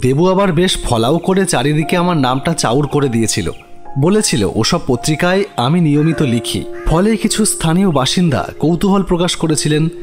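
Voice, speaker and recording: A man's voice: fairly high pitch, animated, normal speed. A man giving scripted narration of a horror story. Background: music.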